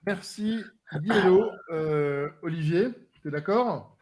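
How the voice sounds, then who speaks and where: A man hosting a football podcast, announcing the next game in a drawn-out, emphatic way and handing over to his guests. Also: a little high, darkish tone. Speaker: podcast host.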